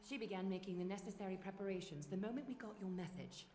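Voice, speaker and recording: A woman voicing a composed, stately leader in a fantasy video game, speaking in a formal, measured, authoritative way. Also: mid-range voice, dark tone, close to an everyday voice. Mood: cool, firm. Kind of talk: English video game dub acting. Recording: echoing game audio under music.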